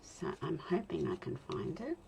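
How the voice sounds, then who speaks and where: An Australian woman in her fifties, chatting and talking to herself while she demonstrates card making, with a slightly croaky voice. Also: lowish voice, big pitch swings, normal speed, a little hiss or hum. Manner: rambling, self-talk with soft laughs. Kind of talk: chatty crafting demo.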